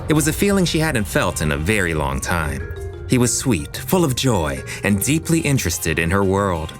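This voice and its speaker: A man's voice: lowish voice, very animated, normal speed, music in the background. A man playing a playful, flamboyant storyteller who narrates a scripted romance in a theatrical way. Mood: wry, dreamy.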